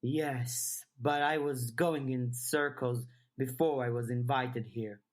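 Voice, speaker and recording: A woman's voice: very deep voice, fairly even intonation, slow pace. A woman voicing a guarded, aloof and weary woman in an audiobook, terse and reserved. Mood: weary, subdued. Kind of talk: audiobook character dialogue.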